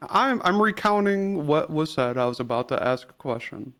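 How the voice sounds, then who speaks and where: A man improvising as an attorney in a roleplay trial, pressing a witness with halting, repetitive questions. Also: fairly high pitch, expressive, a bit bright. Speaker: attorney cross-examining a witness.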